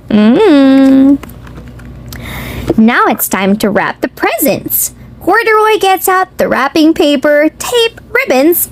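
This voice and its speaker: A woman's voice: high-pitched, a little hiss or hum. A woman reading a picture book aloud to young children in a sing-song, exclamatory way, speaking directly to them. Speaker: children's read-aloud host.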